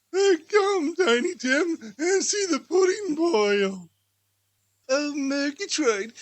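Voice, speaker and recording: A man voicing a tender, excitable poor father in a theatrical storybook reading, emphatic and sentimental. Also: very high-pitched, voice pushed up, exaggerated voice. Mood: anxious, dismayed.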